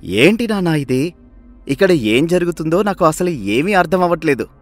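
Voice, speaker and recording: A man voicing a young adult son who questions his father eagerly and joins his scheme, for an animated story. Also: fairly high pitch, moderate pace, soft background music. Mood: curious. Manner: inquisitive, eager.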